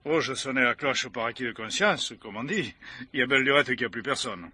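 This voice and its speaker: An actor playing a timid, talkative cemetery keeper, hesitant and folksy, in a French radio crime drama. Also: male voice, medium pitch, fast talker, bright, forceful tone, breathy edge, no echo, studio quality. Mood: startled, chatty.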